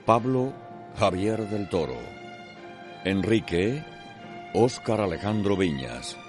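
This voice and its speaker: A man with a Cuban accent narrating a radio soap in a measured, literary, dramatic style. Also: deep voice. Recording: music behind the voice.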